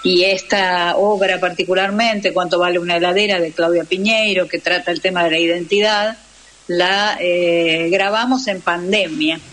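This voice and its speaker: A woman's voice: lowish voice, steady tone, moderate pace, fairly bright tone, rough recording. A woman with an Argentine accent talking in a radio interview as a guest who teaches radio drama. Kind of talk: interview conversation.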